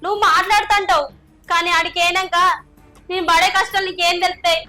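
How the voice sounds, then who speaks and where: A defiant young village wife, played by a woman, bitter and complaining. Her voice is very high and bright, and the words come quickly. Faint music plays under her. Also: steady tone. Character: young wife defying her abusive husband. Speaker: daughter.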